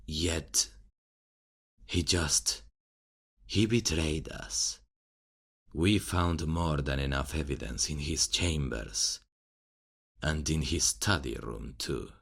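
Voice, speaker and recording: A man's voice: extremely low pitch, takes it slowly, vocal fry, long pauses, a bit bright, breathy edge, full character voice. A man playing a worried king seeking counsel in a fantasy audio roleplay, speaking earnestly and formally. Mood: troubled, earnest.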